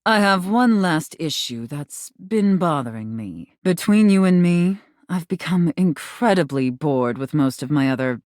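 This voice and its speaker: A haughty, seductive mistress from a video game, speaks in a low, slow voice with sweeping intonation. She is commanding and condescending, teasing as she gives orders. The recording is close and dry. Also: animated, darkish tone, no echo. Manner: haughty, sultry, condescending, drawn-out deliberate phrasing. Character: imperious, teasing dominatrix, a living safe in human guise. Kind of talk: video game character acting.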